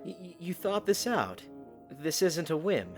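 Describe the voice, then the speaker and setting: An actor playing a young knight, earnest and dutiful with a dry teasing streak, in an audio drama. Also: male voice, a little high, very animated, takes it slowly, faint music behind. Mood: surprised, agreeing.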